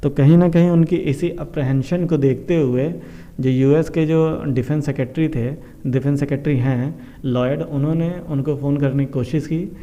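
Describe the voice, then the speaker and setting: A man's voice: fairly high pitch, fairly even intonation, moderate pace, warm tone. An Indian man hosting an informal news explainer, talking to viewers in a repetitive, explanatory way and mixing in English terms. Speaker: host of a current affairs explainer show. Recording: dull, muffled lo-fi sound.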